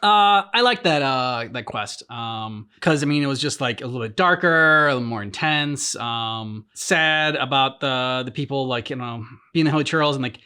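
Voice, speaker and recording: A man's voice: mid-range voice, moderate pace, few pauses, pushed and bright, clear, resonant tone. A man hosting a reaction video in casual game commentary, meandering and hesitant as he thinks aloud.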